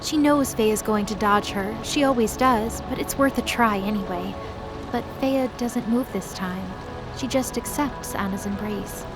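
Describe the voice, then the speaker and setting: A woman giving expressive, scripted dramatic narration as the storyteller of a fantasy audio drama. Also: mid-range voice, measured pace, over music, poor audio.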